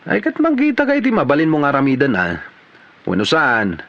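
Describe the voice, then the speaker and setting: A man's voice: on the high side, wildly swooping pitch, fairly quick, dry recording, lo-fi sound. A man with an Ilocano Filipino accent playing a stubborn, grumbling village man in a radio comedy drama.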